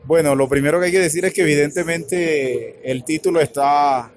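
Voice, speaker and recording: A man with a Colombian Caribbean accent, answering interview questions in a halting, thoughtful way with many filler words. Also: on the high side. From Colombia's Caribbean region. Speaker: author and academic guest. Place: radio booth at a book fair stand.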